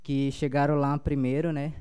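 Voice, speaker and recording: A young man with a Paumari accent, a native Paumari speaker, giving a halting talk at a panel with many restarts. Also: a little high, level tone, warm tone, clear, resonant tone.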